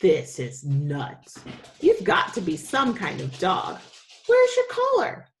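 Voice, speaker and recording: A woman's voice: fairly low pitch, huge pitch swings, takes it slowly, roomy sound, slight background noise, full character voice. A woman voicing a startled, curious young child from a storybook, with emphatic, questioning delivery.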